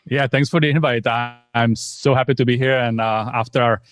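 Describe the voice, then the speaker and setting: A man in his forties with a Czech accent, telling his story in a relaxed, casual way as a podcast guest. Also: mid-range voice, fairly quick, clean tone, dry recording, studio quality. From Czech Republic. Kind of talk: conversational storytelling.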